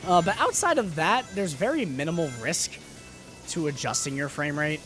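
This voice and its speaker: A man's voice: on the high side, animated, normal speed. A man doing live gameplay commentary while he is losing his voice, talking upbeat and rambling with lots of fillers and restarts. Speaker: game streamer. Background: loud game music.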